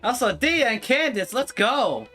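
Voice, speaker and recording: A man's voice: very high-pitched, sing-song, unhurried, pushed and bright. A man giving casual live commentary as he streams a video game playthrough. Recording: faint game music behind.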